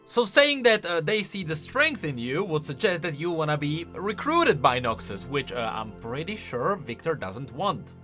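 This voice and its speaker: A man's voice: fairly high pitch, sing-song, normal speed, hardly any pauses, sharp tone, faint music behind. A man hosting casual reaction and explanation commentary, talking in an emphatic, conversational way. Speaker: video host.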